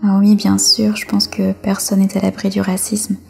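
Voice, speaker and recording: Creaky-voiced woman, reflective interview guest. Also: medium pitch, monotone, moderate pace, mellow. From France. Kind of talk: personal testimony in an interview.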